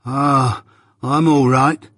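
A man voicing a surly, jealous journeyman blacksmith with a gruff growl and grudging rustic speech, in a storybook reading. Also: mid-range voice, fairly even intonation, extremely slow, sharp tone, dry recording, clean studio sound, in a large hall, pitched above the performer's own voice, full character voice. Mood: sullen. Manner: rustic dialect, grudging and argumentative.

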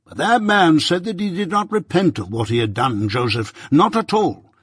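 A man's voice: a little high, lively intonation, slightly rough, dry recording, clean studio sound, voice pushed up. Man putting on a pompous preaching older merchant. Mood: lecturing. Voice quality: pompous, preaching, drawn-out emphasis. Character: pompous self-righteous older male corn merchant.

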